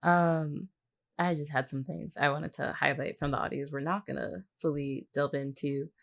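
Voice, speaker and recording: Higher-pitched man with a creaky voice. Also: steady tone, normal speed. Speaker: podcast co-host, voice actor. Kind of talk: casual chat.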